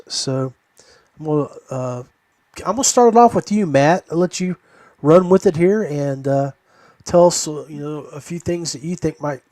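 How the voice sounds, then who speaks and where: An American man hosts a casual live podcast. His voice sits a little higher than most men's. The sound is dry and close, with almost no room in it. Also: darkish tone, no room sound. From United States, West Virginia. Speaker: podcast host.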